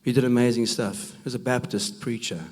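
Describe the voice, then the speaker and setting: A man with a rough, gravelly voice from a cold, giving a preaching talk at a men's conference, emphatic and full of rhetorical questions. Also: mid-range voice, steady tone, fairly quick, a little mellow. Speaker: conference speaker. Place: church hall. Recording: long reverb of a large hall.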